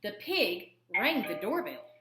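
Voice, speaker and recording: A woman narrates a children's storybook with theatrical emphasis. Her voice is bright and very animated, recorded with a roomy echo. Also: mid-range voice, slow. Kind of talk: expressive read-aloud narration for children.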